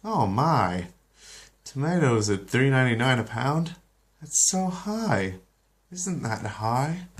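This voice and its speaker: A man voicing a flustered housewife and mother who dithers and frets in drawn-out words. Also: mid-range voice, big pitch swings, voice pushed up. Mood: fretful.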